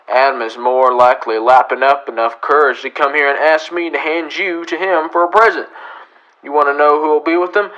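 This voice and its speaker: Western radio marshal, male actor: stern, blunt, commanding, fairly high-pitched with a sharp, bright edge. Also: highs cut off, close to an everyday voice. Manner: barking orders, blunt, forceful. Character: stern, commanding frontier town marshal, adult man. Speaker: actor in a student radio drama. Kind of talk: old-time radio western acting.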